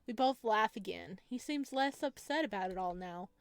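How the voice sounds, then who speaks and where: A woman reading a visual novel aloud as expressive first-person narration, with a playful, teasing manner. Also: medium pitch, moderate pace, no echo.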